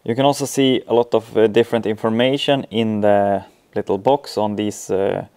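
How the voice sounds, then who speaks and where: A man with a Swedish accent presents an informal step-by-step tutorial. His voice sits on the low side, with a soft, mellow tone and clear vocal fry. He talks in a hesitant, halting way, with frequent ums between phrases. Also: level tone. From Sweden. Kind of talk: informal software walkthrough.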